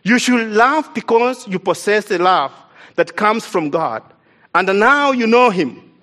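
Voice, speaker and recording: An East African man, not a native English speaker, delivers a sermon in a notably high voice. He preaches earnestly and with emphasis, repeating his main points to drive them home. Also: lively intonation, a little slow, bright, forceful tone. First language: an African language, not English. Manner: earnest, emphatic, repeats key lines for stress.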